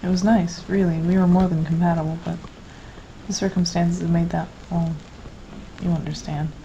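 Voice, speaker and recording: Slightly muffled audio with faint noise carries a woman playing a gentle, wistful former lover in an audio drama. Her voice is a little low and mellow, with a soft, hesitant delivery. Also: level tone, normal speed, close to an everyday voice. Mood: wistful, concerned. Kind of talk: scripted audio drama dialogue. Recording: dull lo-fi mic with faint noise.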